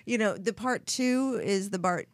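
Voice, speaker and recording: A woman's voice: mid-range voice, a little slow, no room sound, studio quality. A woman hosting a casual talk show, rambling and giggling, with a strong voice and a constant "you know". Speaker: host. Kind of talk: casual chat.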